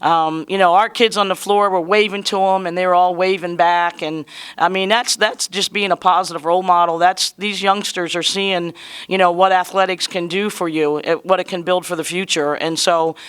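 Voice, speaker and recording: A woman coach speaking at a postgame press conference, with a bright, forward tone and a smooth, clear voice. She is slightly hoarse from a cold and talks in an earnest, conversational way. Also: on the low side, steady tone, moderate pace. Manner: earnest, conversational, proud of her players. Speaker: basketball head coach.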